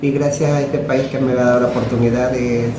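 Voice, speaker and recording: A man in his forties from Ecuador, giving a plain, halting personal testimony. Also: medium pitch, level tone, over music. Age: 40s. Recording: echoey room with noise.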